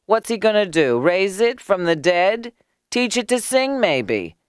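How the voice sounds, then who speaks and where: A woman's voice: mid-range voice, big pitch swings, a little slow, smooth, no room sound, clean studio sound, voice pushed up. A woman voicing a grumpy, sarcastic male tailor in a storybook tale, with a scoffing manner. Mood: scornful. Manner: scoffing, rhetorical questioning.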